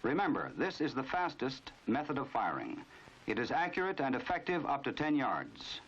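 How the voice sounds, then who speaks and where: A man's voice: mid-range voice, huge pitch swings, normal speed, a bit bright, slightly rough, lo-fi sound. An American man narrating a 1950s instructional film in a formal, authoritative, instructive manner on an old film soundtrack.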